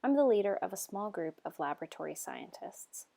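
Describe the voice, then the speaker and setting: A woman in her thirties from Texas with an American accent, telling her own story as a researcher in steady, measured scripted narration. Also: mid-range voice, gravelly. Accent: American, Texan. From Texas, United States. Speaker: lab group leader, researcher.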